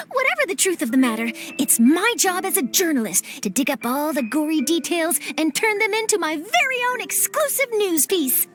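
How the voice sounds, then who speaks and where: A woman's voice: very high voice, big pitch swings, moderate pace. A woman voicing an eager, nosy young female reporter in a game cutscene, chipper and persuasive. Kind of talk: video game cutscene dub.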